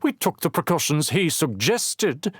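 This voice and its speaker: A man's voice: fairly high pitch, sing-song, moderate pace, dry recording, clean studio sound, voice pushed up. A man voicing a haughty, sneering councilwoman who speaks in a clipped, disdainful way.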